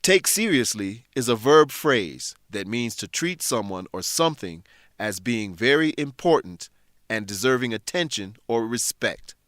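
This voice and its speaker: A man narrating a scripted English lesson in a deliberate, instructive way. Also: mid-range voice, sing-song, fairly bright tone, no echo, studio quality.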